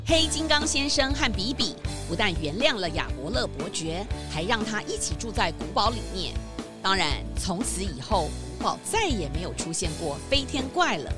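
A woman's voice: medium pitch, big pitch swings, normal speed. A woman narrating a scripted children's story, addressing young listeners directly. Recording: loud music behind.

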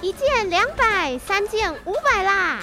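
A young female performer playing a loud, excitable bargain hawker at a night market in a radio drama. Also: very high-pitched, measured pace, soft background music, exaggerated voice. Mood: excited hawking. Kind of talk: children's radio drama acting.

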